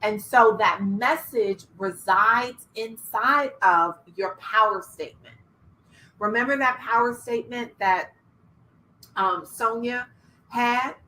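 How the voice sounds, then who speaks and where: A middle-aged woman coaching entrepreneurs in an online workshop, energetic, laughing and asking the audience questions. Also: on the high side, takes it slowly, poor audio. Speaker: business coach. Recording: faint background noise.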